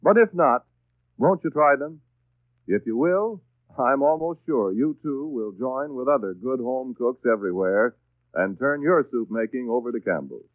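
A man's voice: mid-range voice, lively intonation, moderate pace, no room sound. An American man reading a script as a 1930s radio announcer. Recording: dry, tinny 1930s radio broadcast.

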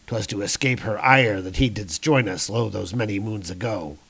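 A man's voice: lowish voice, moderate pace, creaky, a bit bright, slightly rough, exaggerated voice. A man voicing a gallant, dryly mocking warrior in a comic-book story, with grave archaic speech.

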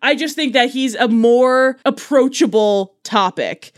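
A woman's voice: fairly high pitch, a little slow, no echo, clean studio sound. A young woman co-hosting a casual comedy podcast, blunt and emphatic with plenty of swearing. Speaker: podcast co-host.

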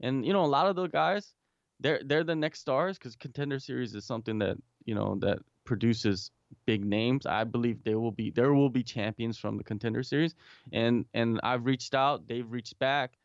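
A man's voice: medium pitch, normal speed, no room sound, dull audio. A man talking from home in a casual remote interview, rambling with many restarts and fillers. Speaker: guest, MMA interviewer and English teacher.

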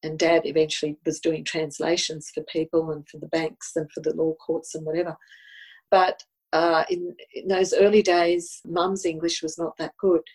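A woman's voice: sits low, level tone, moderate pace, darkish tone, breathy edge, poor audio. A woman, whose was Italian, telling a personal story in an earnest, reflective way, often repeating words as she goes. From Australia. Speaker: guest recounting personal story. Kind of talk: casual storytelling.

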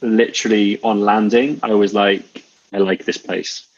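A man's voice: lowish voice, fairly even intonation, unhurried, vocal fry. A man in his thirties from Wales, a podcast guest talking in an easygoing, laid-back, self-deprecating way. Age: 30s. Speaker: guest, coach and entrepreneur. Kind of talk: casual interview chat. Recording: dull lo-fi sound.

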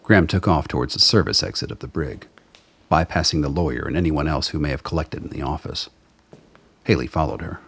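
A man's voice: brisk, a bit bright. A man delivering scripted narration for a radio drama as its storyteller. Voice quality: very deep, husky.

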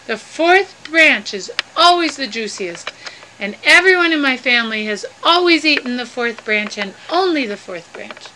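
Woman voicing excitable, high-pitched storybook leaf-eater Mel. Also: slight background noise, low-quality recording. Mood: pleading, stubborn. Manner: dramatic, exclaiming, drawn-out words. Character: excitable, gullible, greedy male tree-climbing leaf-eater Mel.

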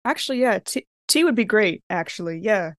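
Woman playing an rpg, mid pitch, tentative and hedgy, restarts a lot, dry close sound. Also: lively intonation, brisk, no echo. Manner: tentative, hedging, restarts sentences. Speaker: player in a tabletop role-playing game. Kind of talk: casual in-character game talk.

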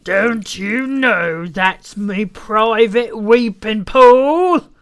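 Storybook rhinoceros, old, huge, booming, weepy, self-pitying, drawn-out melodramatic delivery, pitched up in swoops. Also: female voice, expressive. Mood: angry, menacing.

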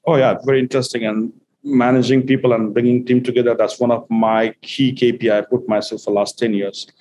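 A man explains his company's work in a business interview, fluent but full of fillers as he lays out each point. His voice sits in an ordinary middle pitch. A faint hum of room noise runs behind him. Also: darkish tone, low-quality recording. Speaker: company executive guest.

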